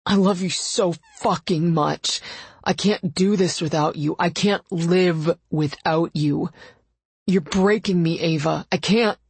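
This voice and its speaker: Woman as a brooding romance hero, low and rough-throated, halting, anguished, pleading, close dry sound. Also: dry recording, exaggerated voice. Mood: desperate, pleading. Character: anguished gruff man, desperate brooding lover. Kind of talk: audiobook romance dialogue.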